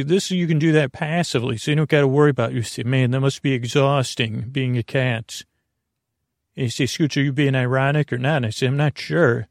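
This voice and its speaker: A man hosting a bedtime podcast, rambling slowly in creaky, soothing tones, wandering off on tangents and restarting his sentences. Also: mid-range voice, no room sound, clean studio sound. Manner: meandering, halting, full of tangents and restarts. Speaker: podcast host. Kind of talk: rambling sleepy-time podcast talk.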